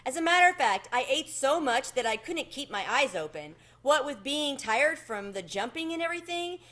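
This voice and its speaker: A woman's voice: high voice, sing-song, moderate pace, pushed and bright, voice pushed up, exaggerated voice. A woman voicing a polite, modest male country cricket in a children's storybook, earnestly telling his adventure. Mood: confessing. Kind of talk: children's storybook read-aloud.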